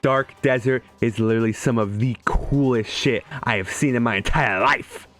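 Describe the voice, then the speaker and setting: A young man narrates a comedic video game review in a casual way. His voice is of average pitch and his delivery is irreverent and profane. Faint music runs behind him. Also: moderate pace, a bit bright. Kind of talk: casual comedic scripted commentary.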